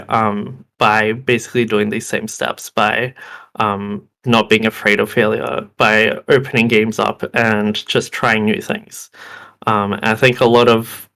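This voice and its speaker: Hesitant young man, a bit hoarse. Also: on the low side, fairly bright tone. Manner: hesitant, many ums and restarts. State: hoarse, losing his voice. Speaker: game developer panelist. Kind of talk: presentation talk.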